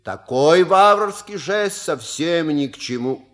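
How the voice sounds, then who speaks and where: A man playing a shrewd, courteous manservant in an audio play, with measured, ironic and rhetorical delivery. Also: on the high side, animated. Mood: unruffled, polite. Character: suave, shrewd manservant and proud father. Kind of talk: theatrical audio play acting.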